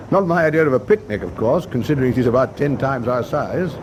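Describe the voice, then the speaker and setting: A man playing a wry, seasoned British destroyer captain in a wartime radio drama, with dry, brisk naval understatement. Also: mid-range voice, natural voice. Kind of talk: WWII radio drama acting. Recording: steady ship ambience noise behind.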